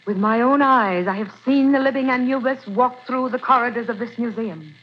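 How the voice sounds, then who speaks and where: A woman's voice: fairly high pitch, moderate pace, breathy edge. An actress playing a mysterious Egyptian woman librarian in a 1940s radio drama, speaking in a solemn, ominous way. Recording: muffled old radio broadcast, faint hiss.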